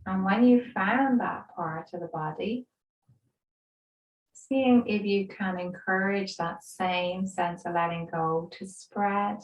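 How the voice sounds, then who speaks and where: A woman yoga instructor leading a guided relaxation in a soothing, gentle, unhurried way with pauses. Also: mid-range voice. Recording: roomy echo, distant microphone.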